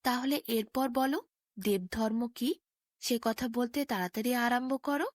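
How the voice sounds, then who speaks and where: A boisterous storybook demon, acted out by a woman, demanding yet just. The delivery is theatrical and fairly high, recorded close and dry. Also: measured pace, no room sound.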